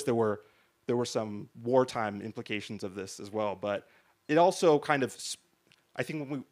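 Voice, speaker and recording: A man moderating a panel discussion on stage in front of an audience, talking into a handheld mic in a conversational way, often asking "right?" and restarting his sentences. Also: medium pitch. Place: large hall stage before an audience.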